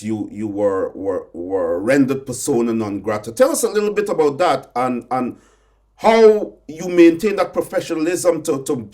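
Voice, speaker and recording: A man's voice: medium pitch, a little mellow. A man with a Guyanese accent asks his guest questions in long, winding turns. He circles back, repeating words and restarting as he goes. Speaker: interviewer. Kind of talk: conversational interview.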